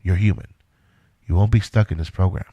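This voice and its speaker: An actor playing a controlling, self-assured middle-aged inventor in an audio drama, speaking in a measured, instructive way. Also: male voice, sits low, highs cut off, a little hiss or hum, lightly acted. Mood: reassuring.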